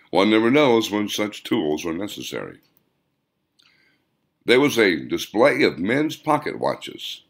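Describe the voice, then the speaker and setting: A man reading scripted audiobook narration as the author, in measured, deliberate phrases. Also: low voice, fairly bright tone.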